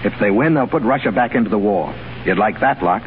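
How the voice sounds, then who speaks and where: A brash foreign correspondent voiced by a man who talks briskly, his voice lively and bouncing. The sound is tinny, with faint hiss, like an old broadcast. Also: on the low side, natural voice. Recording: tinny 1930s radio broadcast.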